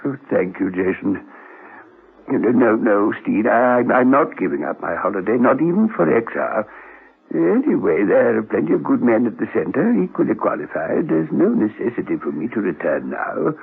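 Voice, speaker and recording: A man's voice: mid-range voice, very animated, moderate pace, vocal fry, breathy edge, heavily acted. An actor playing a nervous, flustered elderly inventor in a radio drama, hesitant and stammering as he talks. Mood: agitated, defensive. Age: older. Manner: hesitant, stammering, repeats himself. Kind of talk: classic radio drama acting. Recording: muffled, phone-like old radio recording.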